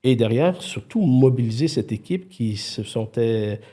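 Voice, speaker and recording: A man's voice: medium pitch, a little slow. A middle-aged man from Quebec with a Québécois accent, telling stories as a guest in a casual conversation. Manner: lively, animated storyteller, frequent restarts. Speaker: podcast guest, IT executive.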